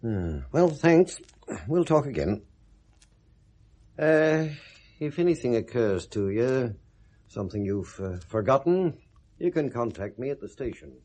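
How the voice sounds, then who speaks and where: An actor in a 1970s British radio drama playing a sceptical police inspector, blunt, wry and insistent, with a British accent. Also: male voice, mid-range voice, big pitch swings, a little slow, pauses often, warm tone. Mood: businesslike. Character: sceptical, probing male police detective inspector.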